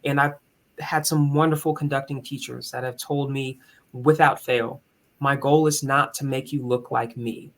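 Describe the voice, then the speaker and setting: A man with a higher tenor voice, speaking as a musician and conductor in an earnest, candid, reflective way in a conversational interview. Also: steady tone, normal speed.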